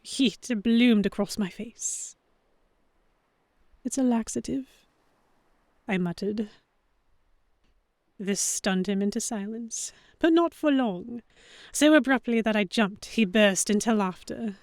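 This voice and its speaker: A woman playing a young, flustered heroine who narrates a pirate romance in the first person, with expressive dramatic delivery. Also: a little high, takes it slowly, long pauses, dry recording, studio quality.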